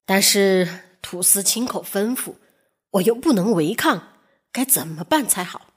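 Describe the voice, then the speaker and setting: A woman voicing a clever, anxious male carpenter in a storybook, speaking in a hushed, earnest manner. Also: medium pitch, expressive, a little slow, breathy edge, pitched below the performer's own voice. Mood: worried. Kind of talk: storybook character voice.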